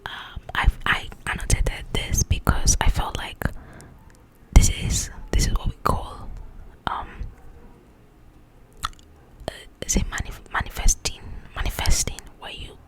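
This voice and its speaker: A woman whispering in an ASMR style as she casually chats about a book in a rambling, animated, informal way. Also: unhurried, long pauses. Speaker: ASMR creator reviewing a book.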